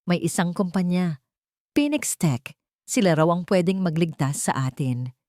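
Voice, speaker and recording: A woman's voice: on the low side, lively intonation, measured pace, dark tone, dry recording. An anxious, pleading young heir from a family melodrama, read by a woman. The voice is dry and close. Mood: hopeful. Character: desperate adult son of a failing rich family.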